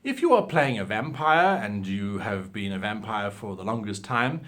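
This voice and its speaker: A man presenting role-playing advice in an instructional style, with deliberate, emphatic and theatrical phrasing. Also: on the low side, very animated, fairly bright tone. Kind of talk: instructional talk with examples.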